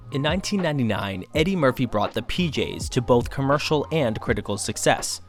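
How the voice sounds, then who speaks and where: A man reading scripted documentary narration in a measured, formal, informative manner. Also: mid-range voice. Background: faint music.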